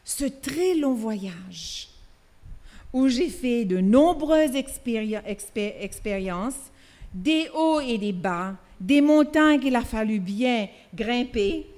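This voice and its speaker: A middle-aged woman reads a sermon aloud in church. She speaks slowly and with care, in a somewhat high voice. She often hesitates and goes back to restart a word. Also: expressive, a little mellow. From Mauritius. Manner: slow careful reading with hesitations and restarts. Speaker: preacher.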